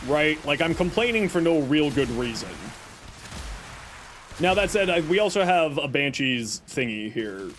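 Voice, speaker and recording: A man's voice: fairly high pitch, animated, measured pace, fairly bright tone, slight background noise. A man streaming a game and chatting with viewers, self-deprecating and rambling, who is losing his voice. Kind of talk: casual live-stream chat answering viewers.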